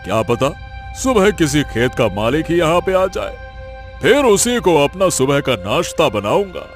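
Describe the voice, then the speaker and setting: A man's voice: a little high, sing-song, a little slow, faint music behind. A man voicing a proud, menacing lion. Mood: smug, menacing. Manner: boastful. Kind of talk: animated Hindi moral-story dramatization.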